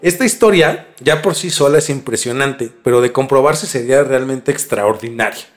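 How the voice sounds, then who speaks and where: A man's voice: mid-range voice, normal speed, faint music behind. A man hosting a mystery podcast in a conversational presenting style. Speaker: podcast host.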